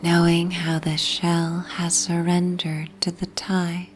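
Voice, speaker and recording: A woman narrating a bedtime sleep story in a soft, breathy, half-whispered, soothing ASMR manner. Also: on the low side, completely flat delivery, slow pace, slight background noise.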